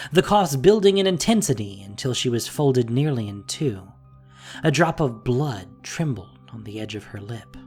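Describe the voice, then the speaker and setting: Expressive audio drama narrator. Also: medium pitch. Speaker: podcast narrator and producer.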